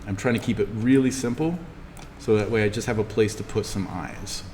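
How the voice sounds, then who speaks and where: Low-voiced man teaching in an echoey, noisy room. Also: darkish tone. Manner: halting, thinking aloud while demonstrating. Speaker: college instructor. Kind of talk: informal in-class software tutorial. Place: classroom. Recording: reverberant room, clearly noisy background.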